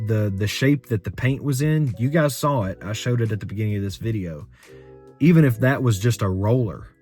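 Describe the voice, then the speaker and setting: A young man in a rambling, unscripted story-time vlog about his car, talking in a monotone voice with many fillers. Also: on the low side. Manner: rambling, filler-heavy, conversational. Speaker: car vlogger.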